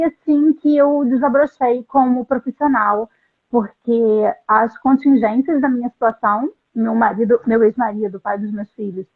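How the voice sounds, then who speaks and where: A middle-aged woman, a translator, telling her story in an interview, earnest and halting with frequent restarts. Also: fairly high pitch. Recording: tinny phone-like line.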